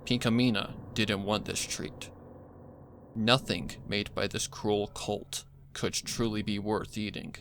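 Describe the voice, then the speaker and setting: Male narrator, horror story reading, unhurried pace, lowish pitch, soft music underneath. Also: steady tone, slight background noise. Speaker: fan fiction narrator.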